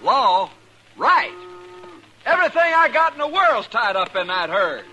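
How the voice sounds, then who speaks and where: A man's voice: very high-pitched, big pitch swings, unhurried, bright, forceful tone, slight background noise, exaggerated voice, low-quality recording. An actor playing a proud, desperate cattleman, angry and pleading, in a 1950s radio drama.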